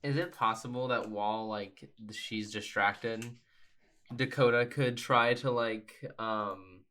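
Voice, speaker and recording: A man who is a tabletop game player, asking in a casual, tentative, halting way. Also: fairly low pitch, fairly even intonation, bright, forceful tone. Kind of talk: casual chat.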